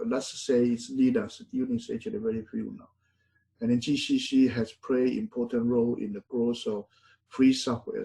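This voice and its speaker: Middle-aged male professor, husky, medium pitch, hesitant with lots of "uh". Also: mellow, low-quality recording. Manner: halting, many fillers and self-corrections. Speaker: university lecturer. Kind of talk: classroom lecture.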